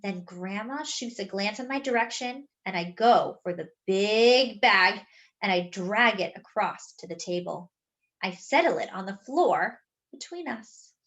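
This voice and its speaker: A woman reading a children's picture book aloud, expressively and with animated delivery for young listeners. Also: mid-range voice. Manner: animated, pausing to explain words.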